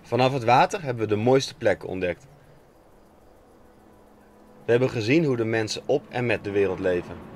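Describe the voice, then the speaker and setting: A young Dutch man, a sailing skipper, reading a calm, matter-of-fact scripted voice-over. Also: on the low side, normal speed, pauses often, slight background noise. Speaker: skipper narrating a sailing documentary. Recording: faint music under the voice.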